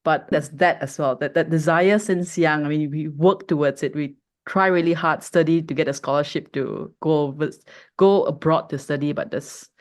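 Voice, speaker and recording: A man's voice: on the high side, fairly even intonation, moderate pace. A man from Malaysia co-hosting a family podcast, chatting casually and prompting his sibling with curious questions.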